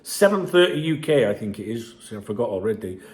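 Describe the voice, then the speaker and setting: A man, croaky from a chest infection, giving sweary and sarcastic casual commentary on a news article as a football channel host. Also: on the low side, big pitch swings, quick pace, a little mellow.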